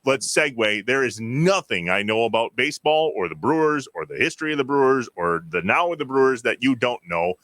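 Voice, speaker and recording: Animated man hosting a sports show. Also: medium pitch, fairly quick, few pauses, no room sound. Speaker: podcast host. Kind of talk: casual sports talk.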